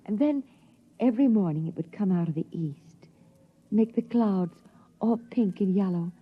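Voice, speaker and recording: An actress playing a frail mother longing for the lost sun, with a breathy, trembling voice, in a 1950s radio drama. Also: medium pitch, normal speed, lightly acted. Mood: dreamy. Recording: dull 1950s radio broadcast.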